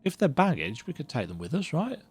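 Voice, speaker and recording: A man's voice: brisk, faint music behind. A man casually reacting to a game as he plays, musing aloud in a chatty way. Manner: chatty, musing aloud, wildly animated pitch. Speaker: streamer playing a game.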